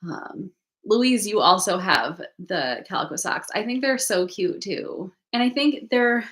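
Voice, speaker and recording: A woman's voice: medium pitch, lively intonation, unhurried, fairly bright tone. A woman hosting a casual knitting livestream from home, rambling and thinking aloud, a bit sniffly. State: sniffly from allergies. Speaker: knitting channel host. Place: home craft room, indoors.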